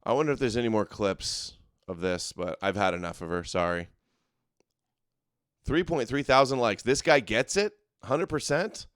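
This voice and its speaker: A man hosts an online show with casual, sarcastic commentary on clips. His voice is medium in pitch and cleanly resonant. The recording is dry and close, with no room sound, and his delivery is jeering and offhand. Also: animated, moderate pace, a bit bright, clean tone, no echo. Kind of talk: mocking running commentary on clips.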